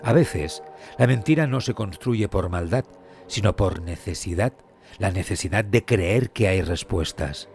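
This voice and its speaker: A man delivering scripted true crime narration in a solemn, measured, suspenseful manner. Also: sits low, expressive, darkish tone. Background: music.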